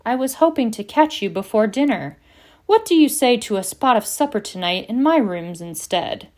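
Pompous, genial old schoolmaster a woman reader doing him, booming, high and loud. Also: lively intonation, normal speed, natural voice. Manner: booming, jovial. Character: pompous, genial old professor, stout man. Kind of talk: audiobook character reading.

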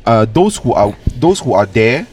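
A man's voice: mid-range voice, very animated, moderate pace, a little mellow. Malaysian man with noise behind him. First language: Cantonese. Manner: tags phrases with "right?", repeats words for emphasis. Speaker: podcast co-host. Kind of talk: casual opinionated chat.

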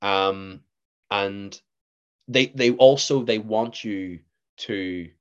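A man in his twenties with a Northern Irish accent, an actor chatting as a podcast guest, lively and acting out what others said to him. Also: fairly low pitch, drawn-out words, lo-fi sound. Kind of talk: casual interview chat.

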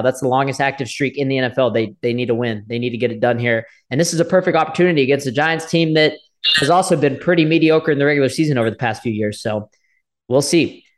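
A man co-hosting a casual sports talk show, congested from allergies and hesitant, often restarting his sentences. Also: mid-range voice. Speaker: podcast co-host.

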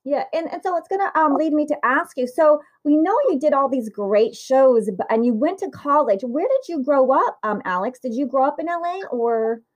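A woman with a somewhat high voice hosting an interview. She talks in a chatty, casual way with quick asides and easy laughter. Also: expressive, lo-fi sound. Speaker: interview host.

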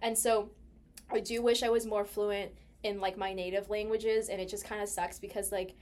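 A woman's voice: medium pitch, normal speed. A young American woman in casual personal conversation, chatty and peppering her talk with "like". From United States. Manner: chatty, animated storytelling with heavy use of "like".

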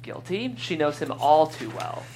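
A woman's voice: low voice, sing-song, unhurried, darkish tone, slight background noise. A woman hosting a podcast and reading scripted stage directions as narrator. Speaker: host and stage-direction reader.

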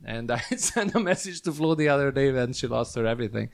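A man's voice: mid-range voice, lively intonation, vocal fry. A man hosting a casual podcast chat, teasing his guest and laughing often. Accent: Hungarian. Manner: playful, teasing, quick to laugh. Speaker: podcast host.

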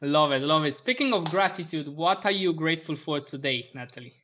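Bright upbeat man hosting a podcast. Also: a little high, animated, a little fast, highs cut off, a little hiss or hum. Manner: upbeat, many fillers. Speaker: podcast host. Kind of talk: podcast intro and interview.

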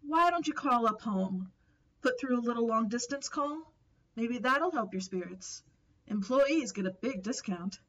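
A woman's voice: fairly high pitch, expressive, a little slow, a bit bright, rough recording. A woman playing a caring, motherly telephone operator in an old-time radio drama, coaxing in friendly slang.

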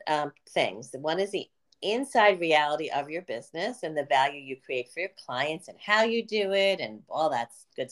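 Low-voiced woman podcast host. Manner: earnest, explanatory, chatty with filler ums. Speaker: podcast host and business coach. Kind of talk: casual podcast chat.

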